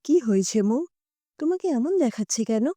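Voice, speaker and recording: A tender, troubled young man, played by a woman narrator, speaks with earnest urgency in an audio story. The voice is mellow and sounds close and dry. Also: on the high side, sing-song, normal speed, dry recording. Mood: anxious concern.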